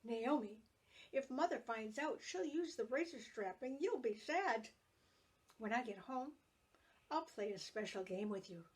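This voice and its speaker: A woman voicing an earnest young girl who is a protective older sister, gently warning her little sister. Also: fairly high pitch, animated, unhurried, far from the mic. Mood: admonishing.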